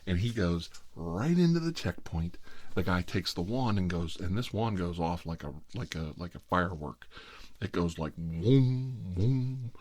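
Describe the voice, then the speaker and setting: A man's voice: deep voice, expressive, normal speed. A middle-aged American man rambling casually through an anecdote with comic exasperation, acting out the dialogue as he goes. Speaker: storytelling host.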